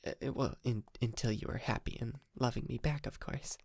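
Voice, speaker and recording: A man's voice: mid-range voice, steady tone, moderate pace, a little mellow, no room sound, natural voice. A man playing a shy, polite, clingy yandere kidnapper in an intimate ASMR roleplay, stammering and apologetic, close to the mic. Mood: sweetly possessive. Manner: hesitant, stammering, nervous giggles, apologetic. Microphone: close microphone, dry.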